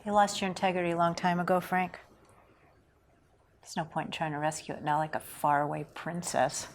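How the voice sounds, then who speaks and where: A woman's voice: lowish voice, little pitch movement, a little fast, a little hiss or hum, natural voice. An actress at a table read playing a bitter, wounded, unforgiving woman with a terse, accusing manner. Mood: cold, dismissive. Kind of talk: read-through of a stage drama script.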